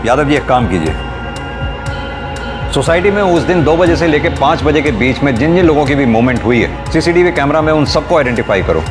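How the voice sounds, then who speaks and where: A man playing a brisk, authoritative senior police inspector in a TV crime serial, methodical and commanding. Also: fairly high pitch, lively intonation, close to an everyday voice. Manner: commanding, methodical, gives clipped orders. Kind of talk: Hindi TV crime serial acting. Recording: dramatic music under dialogue.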